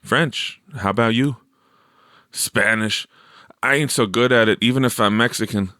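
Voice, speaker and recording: A man's voice: mid-range voice, a little slow, bright, forceful tone, no room sound, studio quality. Man playing a cocky, slangy seventh-grade boy. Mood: casual.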